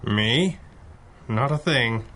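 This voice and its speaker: Radio drama lead: wry, unflappable male crimefighting boss, understated, bright incisive tone, medium register. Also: medium pitch, animated, extremely slow, exaggerated voice. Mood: amused. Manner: dry, composed, understated. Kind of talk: 1930s radio adventure drama acting.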